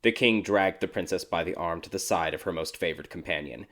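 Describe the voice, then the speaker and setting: A man reading a scripted dark fairy tale as a dramatic audiobook narrator. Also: deep voice, a little fast. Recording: background music behind the voice.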